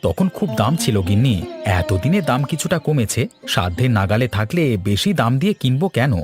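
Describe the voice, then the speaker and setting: A thrifty middle-aged village husband, played by a man in a cartoon folk tale. His voice is medium in pitch with a grumbling manner, over faint music. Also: very animated, moderate pace, natural voice. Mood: defensive. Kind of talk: animated moral story dialogue.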